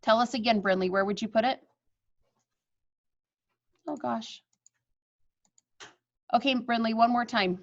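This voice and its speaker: A woman with a fairly high voice works as a teacher reading aloud to children. She is expressive and encouraging, and the sound is slightly muffled and lo-fi, like a video class. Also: unhurried, pauses often. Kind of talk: storybook read-aloud with chat to pupils. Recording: dull lo-fi video call.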